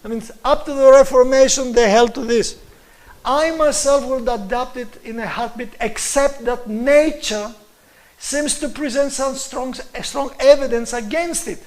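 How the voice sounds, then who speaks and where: A middle-aged man with a Greek accent giving a religious lecture on scripture in halting English, emphatically repeating key words. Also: extremely high pitch, poor audio. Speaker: Bible teacher giving a presentation.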